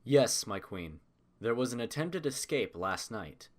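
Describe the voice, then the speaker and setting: A man's voice: medium pitch, big pitch swings, a little slow. An actor, a man, playing a deferential pony camp guard reporting formally in a fan fiction audio drama. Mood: dutiful.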